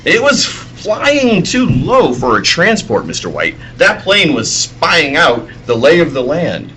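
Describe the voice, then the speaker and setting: Man acting an earnest, slow-spoken hero reporter. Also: fairly high pitch, very animated, sharp tone, a little hiss or hum, exaggerated voice. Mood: urgent, deducing. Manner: deliberate, urgent, dramatic. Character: earnest male reporter who is secretly a heroic superhero. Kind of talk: old-time radio serial acting.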